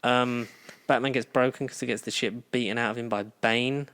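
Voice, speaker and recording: A man's voice: mid-range voice, fairly even intonation, normal speed, fairly bright tone, smooth. A man co-hosting a podcast, chatting casually and rambling with lots of fillers. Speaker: podcast co-host. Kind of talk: casual chat.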